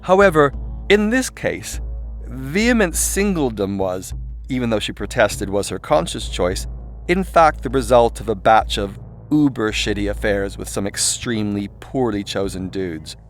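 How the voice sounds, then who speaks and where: A man's voice: on the low side, animated, measured pace. A man reading his own irreverent self-help audiobook in a theatrical, cheeky, conversational way, with sweary asides. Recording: voice over background music. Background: composed music and soundscape.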